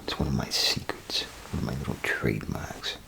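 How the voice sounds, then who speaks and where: Very deep, gravelly half-whispering man. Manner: unhurried, casual, folksy muttering with pauses. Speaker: ASMR performer playing a bathroom attendant. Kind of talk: ASMR role play, soft-spoken rambling to the listener.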